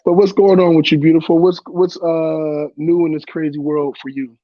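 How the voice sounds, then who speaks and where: A man's voice: fairly high pitch, flat delivery, moderate pace, highs cut off, a little hiss or hum. A man co-hosting a casual podcast, a bit congested from a cold, with a playful, teasing way of talking. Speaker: podcast co-host. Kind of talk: casual chat.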